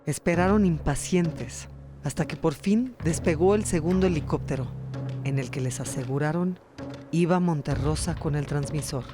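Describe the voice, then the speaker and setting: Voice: mid-range voice, moderate pace, over music. A podcast narrator reading a scripted documentary story.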